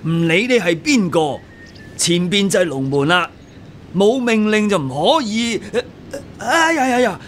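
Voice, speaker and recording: An actor playing an officious, fawning exam-hall guard in a radio drama. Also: male voice, high voice, very animated, measured pace, a bit bright, slight background noise, exaggerated voice. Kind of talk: Cantonese radio drama acting.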